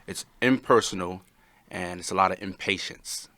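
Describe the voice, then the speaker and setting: Deep-voiced man, bright and earnest. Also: normal speed, no room sound. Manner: earnest, long explanatory sentences. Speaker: panel guest. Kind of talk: casual group discussion.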